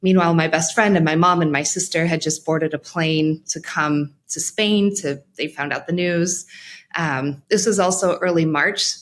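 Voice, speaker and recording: Low-voiced woman telling a halting personal story. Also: steady tone. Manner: halting, reflective, with frequent restarts and pauses. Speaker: podcast guest, mental health practitioner.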